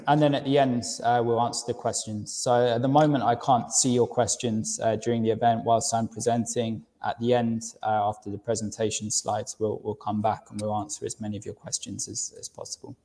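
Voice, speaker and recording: A man's voice: mid-range voice, level tone, moderate pace, dark tone, in a large hall. A man in his thirties with a London accent presents a university webinar in a friendly manner, often repeating words as he restarts. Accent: London English. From United Kingdom.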